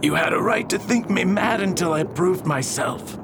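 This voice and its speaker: A man's voice: high voice, lively intonation, moderate pace. A man playing an eccentric, ailing old alchemist in a period radio drama, speaking in a grave, stilted, formal way. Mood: grave, pleading. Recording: very noisy audio drama recording.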